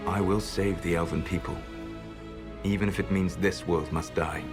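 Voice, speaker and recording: A man voicing an ancient elven trickster god in a video game, grave, proud and regretful, speaking in measured, formal phrases. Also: low voice, steady tone, over music. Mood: resolute, regretful. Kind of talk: dramatic video game dialogue.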